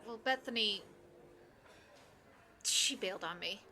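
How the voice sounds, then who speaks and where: A woman playing a brash, excitable young coworker and friend in an audio drama, outspoken and chatty. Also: medium pitch, takes it slowly, exaggerated voice. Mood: downcast. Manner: exuberant, outspoken, nosy.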